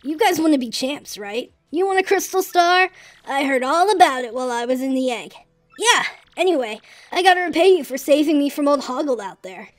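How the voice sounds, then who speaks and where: A woman's voice: sits high, lively intonation, brisk. A woman voicing a cocky, eager newly hatched boy dinosaur in a fan-dubbed video game, brash and slangy.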